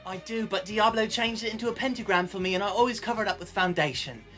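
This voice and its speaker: A woman's voice: medium pitch, quick pace, a bit bright, faint music behind, pitched above the performer's own voice, close to an everyday voice. A woman voicing a moody, melodramatic gothic teenage boy, with overwrought wailing. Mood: explaining.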